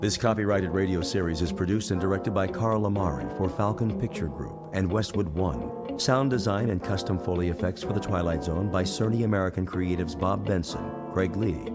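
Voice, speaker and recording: A man's voice: low-pitched, moderate pace, hardly any pauses, loud music behind the voice. A man working as an announcer, reading scripted closing credits for a radio series.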